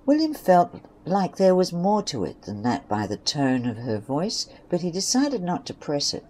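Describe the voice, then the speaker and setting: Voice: medium pitch, wildly swooping pitch, normal speed, mellow. A narrator reading scripted third-person story passages for an amateur western audio drama.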